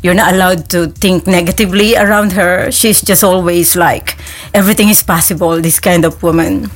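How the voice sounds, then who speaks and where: A woman's voice: fairly low pitch, fairly even intonation, moderate pace. A woman with a Filipino accent, a guest in a radio interview, talking reflectively about growing up. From Philippines. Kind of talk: relaxed interview chat.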